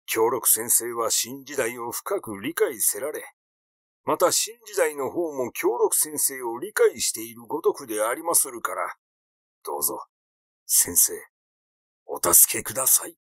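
A man's voice: medium pitch, very animated, measured pace, dry recording, clean studio sound. A man voicing an aging master swordsman in an audiobook, vain yet meekly pleading, with stiffly polite, old-fashioned speech. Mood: humble pleading. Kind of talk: audiobook character reading.

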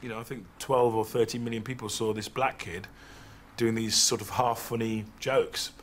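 A man speaking in a documentary interview, reflective and lively, recorded in a living room. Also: lowish voice, moderate pace, noisy background. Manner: reflective, mimics voices when quoting. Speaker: interviewee, comedian.

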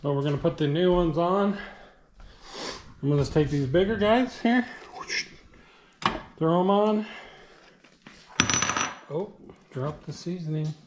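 A man's voice: a little high, measured pace, pauses often, noisy background. Chatty man vlogging his meal prep. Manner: chatty, joking, self-deprecating, lots of fillers. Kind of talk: casual cooking vlog, talking to camera. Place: home kitchen, indoors.

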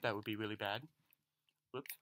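A young man talks through a toy unboxing with eager, chatty energy and a bright, forward tone. He is coming down with a cold. It sounds like a camera mic in a small room at home. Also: fairly low pitch, moderate pace, a little hiss or hum. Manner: excited, chatty, self-deprecating. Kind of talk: casual unboxing commentary.

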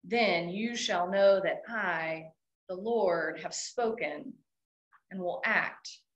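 A woman leading worship, giving a slow and measured scripture reading. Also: fairly low pitch. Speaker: worship leader. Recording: echoey hall.

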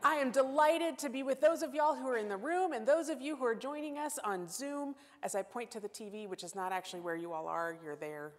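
A woman's voice: a little high, a little fast, hardly any pauses. A woman in her forties preaching as guest pastor at a church pulpit, talking expressively and gesturing. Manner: warm expressive, gestures while speaking. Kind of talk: sermon and scripture reading. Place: church sanctuary at wooden pulpit. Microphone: gooseneck pulpit mic. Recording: long hall reverb.

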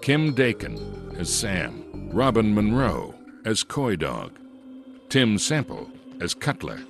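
A male announcer reads drama credits at an unhurried, slow pace. His low voice moves in lively swings of pitch over clear music. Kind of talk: scripted credit reading.

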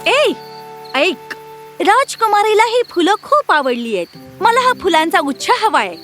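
Woman voicing a shrill, indignant royal maid. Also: sing-song, unhurried, fairly bright tone, faint music behind, heavily acted. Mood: indignant, demanding. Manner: haughty, scolding. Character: indignant young female attendant of a princess.

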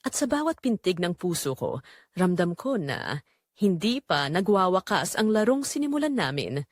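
Lady telling a dramatic story in first person, really animated pitch, close dry recording. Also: normal speed, no echo. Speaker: audio drama narrator.

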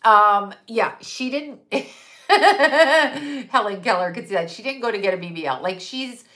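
A middle-aged woman rants bluntly and profanely on a home live stream, her nose stuffy. Her voice is bright and cutting and sits a little high. She is caught by a webcam at desk distance in a room at home. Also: animated, a little slow. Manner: blunt, profane, emphatic with hand gestures. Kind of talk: casual live-stream rant.

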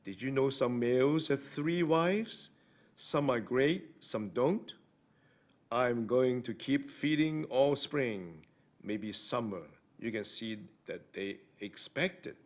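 An older man recites poetry in a meeting room. His voice is average in pitch and his reading is slow. He speaks into a podium microphone. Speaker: amateur reader in a reading-aloud group. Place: library meeting room, indoors.

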